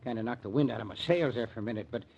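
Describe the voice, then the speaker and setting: An actor playing a blunt, suspicious small-town American sheriff with folksy, ungrammatical speech in an old-time radio drama. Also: male voice, mid-range voice, very fast, creaky, a little mellow, slightly rough. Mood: wary, grudging. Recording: dull, muffled old radio recording.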